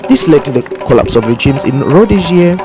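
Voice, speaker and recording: A man narrating a documentary voice-over in a formal, lively way. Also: fairly high pitch, fairly quick. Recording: voice-over with clear background music.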